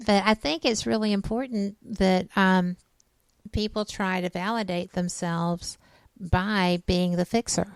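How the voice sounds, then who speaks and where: A woman's voice: mid-range voice, steady tone, unhurried, smooth, dry recording. A woman in her late fifties, a radio host chatting frankly and casually with a co-host. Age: 50s. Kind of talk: casual chat.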